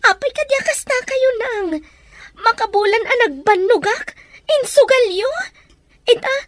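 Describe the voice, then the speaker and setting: A woman's voice: very high-pitched, a little slow, fairly bright tone, dry recording. A woman with an Ilocano accent playing an earnest, aggrieved working daughter in an audio drama. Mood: upset, accusing. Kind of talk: Ilocano radio-style drama acting.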